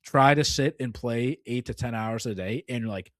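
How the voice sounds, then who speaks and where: A man's voice: mid-range voice, normal speed, no room sound, studio quality. A young man co-hosting a casual gaming podcast, rambling and filling his talk with "like" and "dude.". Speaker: podcast co-host.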